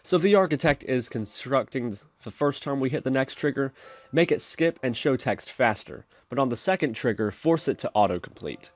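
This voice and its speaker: A man's voice: mid-range voice, animated, a little fast, no room sound. A man hosting a programming tutorial, narrating step-by-step instructions in a screencast style.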